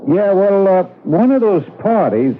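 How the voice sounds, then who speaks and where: Breezy, highish postal clerk, tinny radio. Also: male voice, sing-song, unhurried. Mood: casual, curious. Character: easygoing, practical male post office colleague. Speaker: radio drama actor. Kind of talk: vintage radio mystery acting. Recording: tinny, noisy old radio broadcast.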